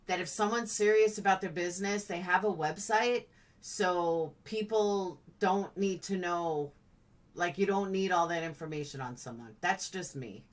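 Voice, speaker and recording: Woman chatting casually, lowish voice, distant mic. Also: unhurried. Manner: blunt, chatty, playful. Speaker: live-stream host. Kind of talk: casual live chat, reacting to comments.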